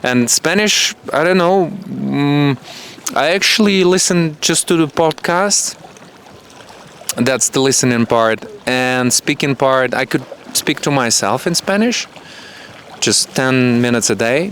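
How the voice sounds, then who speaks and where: A man whose first language is Russian and Ukrainian, a guest chatting animatedly in English and sometimes jumping up in pitch. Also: slight background noise. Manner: animated, acts out voices and jumps up in pitch. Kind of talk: casual conversation.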